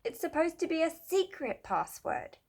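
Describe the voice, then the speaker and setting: A woman's voice: very high voice, very animated, moderate pace, a little mellow, voice pushed up, exaggerated voice. A woman voicing a grumpy, officious schoolboy who leads a club in a children's storybook. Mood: bossy. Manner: huffy, bossy.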